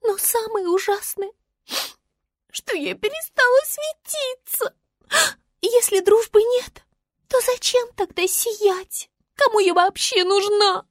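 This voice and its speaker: A woman's voice: very high voice, takes it slowly, no echo, studio quality, voice pushed up. A woman voicing a small, touchy, tearful fallen star in a children's story, theatrical and plaintive.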